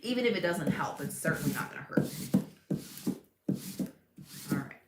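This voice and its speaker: A young woman hosting a live crafting stream from her home craft room, chatting casually, thinking aloud, laughing often and reading out viewer comments in a loud voice. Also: low voice, expressive, moderate pace, echoey room, very noisy. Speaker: crafting livestream host. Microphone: tablet mic across the room.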